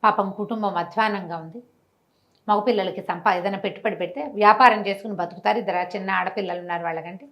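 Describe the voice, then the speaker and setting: Fast-talking woman storyteller. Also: medium pitch. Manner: animated, didactic, acts out dialogue with rhetorical questions. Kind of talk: conversational moral storytelling with commentary.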